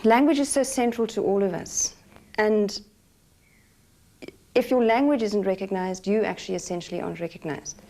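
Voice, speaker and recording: A woman's voice: mid-range voice, moderate pace, long pauses, warm tone. A woman educationist speaking earnestly and reflectively in a documentary interview.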